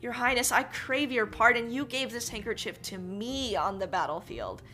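Humble young hero, earnest and slow, as a female reader puts him on. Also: on the high side, expressive, sharp tone, soft background music.

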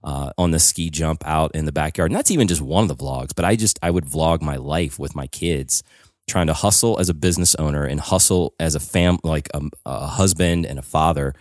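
A deep-voiced man hosts a podcast, wandering through animated stories packed with "like" and restarts. The sound is dry and close, with no room echo. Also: fairly quick. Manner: rambling, animated storytelling with many "like"s. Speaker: podcast host. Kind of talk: casual podcast chat.

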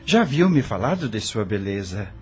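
An actor playing a young doctor, overly courteous and insistent, with a husky voice in a radio soap. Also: male voice, on the low side, sing-song, normal speed, darkish tone, a little hiss or hum. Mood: courtly, insistent.

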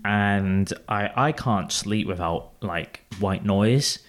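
A man's voice: lowish voice, slow pace. A British man co-hosting a podcast, chatting casually about a trip and swearing freely. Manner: relaxed, swears freely, tells the story. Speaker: podcast co-host. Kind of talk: casual chat.

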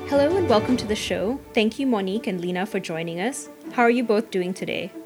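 A woman's voice: mid-range voice, a little fast, music in the background. A woman hosting an interview podcast, asking prepared questions in a friendly tone. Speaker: podcast host.

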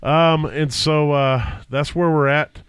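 A man's voice: fairly high pitch, steady tone, slow, a bit bright, smooth, dry recording. Stuffy-nosed man rambling through podcast intros. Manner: rambling with frequent fillers and restarts. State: stuffy nose from a cold. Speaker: podcast host. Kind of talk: casual chat. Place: home basement. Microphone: podcast microphone.

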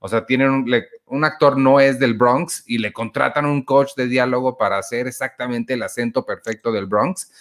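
A man's voice: mid-range voice, moderate pace, few pauses. Chatty man reviewing films. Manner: relaxed, chatty, filler-heavy. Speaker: podcast host. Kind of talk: casual film review chat.

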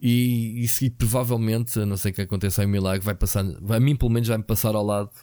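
A hoarse man with a European Portuguese accent and a low voice, co-hosting a podcast in loose, meandering chat. The sound is dry and close, with no room echo. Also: quick pace. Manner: relaxed, rambling, many fillers and restarts. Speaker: podcast co-host. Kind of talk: casual chat about video games.

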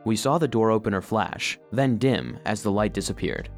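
An actor playing an earnest, sensitive household robot who narrates his own story for children in an expressive, heartfelt way. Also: on the low side, normal speed, soft background music. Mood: suspenseful. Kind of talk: scripted first-person storybook narration for children.

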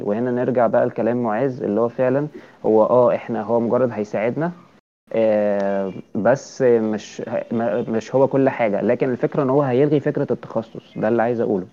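A man with an Egyptian accent, talking in a casual group discussion. He pauses to search for his words and frames his point as a question. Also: medium pitch, highs cut off. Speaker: designer in a group chat.

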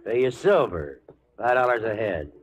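An actor playing a wily, pushy old cattle-country patriarch with a sly backwoods drawl in a 1940s radio western. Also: male voice, on the low side, sing-song, normal speed. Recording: muffled, noisy 1940s radio broadcast.

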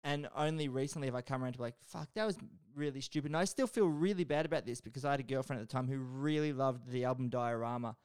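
A man's voice: mid-range voice, fast talker, few pauses, darkish tone, smooth. A man co-hosting a podcast in casual chat, telling stories in a sheepish, self-deprecating way. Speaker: podcast co-host.

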